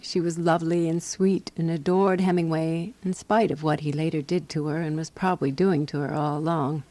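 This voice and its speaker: An actress playing a prim, jealous, watchful lady companion who narrates a radio drama in a dry, deliberate, wry way. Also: sits low, dark tone.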